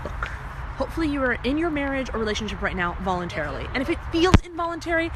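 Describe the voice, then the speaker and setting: Higher-pitched woman vlogger over noisy ambience. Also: sing-song, fast talker. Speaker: relationship advice vlogger. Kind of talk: casual advice talk to camera.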